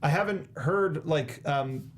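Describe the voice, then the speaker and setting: A man's voice: fairly high pitch, takes it slowly. A young American man co-hosting a movie review show in casual, conversational style on a close studio microphone. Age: young adult. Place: small indoor studio. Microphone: close studio microphone with foam windscreen.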